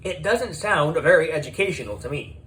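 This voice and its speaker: A man's voice: on the high side, animated, normal speed, fairly bright tone, poor audio. A man voicing a prim, sceptical schoolgirl in a children's story, speaking in an objecting, disapproving way.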